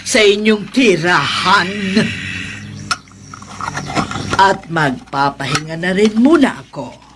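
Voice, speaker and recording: A woman's voice: on the low side, animated, background noise. A woman playing a vengeful wicked woman who sends her swarm of bees to kill, in a radio horror drama. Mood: softly commanding. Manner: gloating, slow and menacing, cackling. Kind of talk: old-style radio horror acting.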